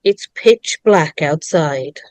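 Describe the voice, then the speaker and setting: A woman's voice: low-pitched, lively intonation, slow, darkish tone, no echo. A woman reading stage directions as the narrator of an online script read-through. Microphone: video call microphone.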